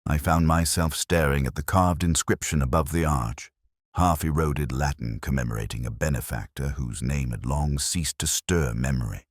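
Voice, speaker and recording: A man narrating a literary detective story in the first person, in a measured, brooding and formal manner with a husky voice. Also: very deep voice, no echo, studio quality.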